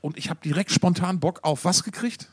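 A man's voice: high voice, brisk, husky, dry recording, studio quality. A man chatting casually as a podcast co-host, rambling and often repeating words as he searches for them. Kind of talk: casual chat between two podcast hosts.

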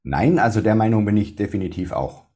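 A man with a deep bass-baritone voice and a faint Swabian accent, talking in an interview in a measured way with many restarts. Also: expressive, mellow. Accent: slight Swabian. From Swabia, Germany. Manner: measured, with frequent restarts and fillers. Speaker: funeral speaker. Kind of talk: casual interview talk.

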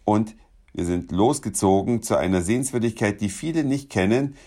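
A man with a somewhat low voice who is a little hoarse today. He talks in a chatty, enthusiastic way as he reports on his trip. He restarts small phrases as he goes along. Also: expressive, moderate pace, warm tone. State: slightly hoarse. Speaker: podcast host. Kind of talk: casual travel report.

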